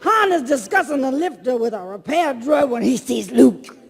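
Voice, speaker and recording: A man's voice: very high voice, animated, moderate pace, vocal fry, slightly rough. A man narrating stage directions from a script in a projected, comic theatrical style. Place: on stage at a large convention hall. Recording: echoey convention hall.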